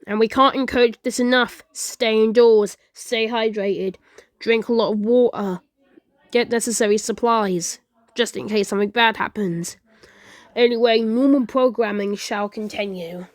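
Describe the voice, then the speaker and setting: A woman's voice: a little high, steady tone, unhurried, no echo. A woman giving an improvised amateur disaster broadcast, rambling along, stumbling, repeating phrases and correcting herself. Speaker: creator and performer of a fan emergency-alert scenario.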